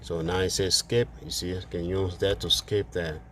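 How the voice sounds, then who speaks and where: A man's voice: deep voice, level tone, normal speed, a bit bright, slight background noise. A man chatting casually through a hands-on car tutorial, informal and repeating phrases for emphasis, speaking inside a car. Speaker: car DIY video host.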